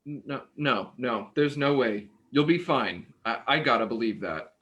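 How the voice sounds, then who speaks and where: An actor playing an awkward, polite young hospital worker who hesitates and stammers in a naturalistic play reading. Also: male voice, medium pitch, lively intonation, moderate pace, fairly bright tone, muffled recording, close to an everyday voice. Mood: uneasy, evasive. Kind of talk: naturalistic stage dialogue reading.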